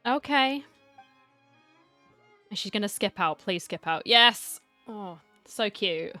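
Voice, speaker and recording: Female gaming commentator, average pitch, chatty and playful casual reactions over faint music. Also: animated, slow, bright, forceful tone, no room sound. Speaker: gamer reacting to a story quest.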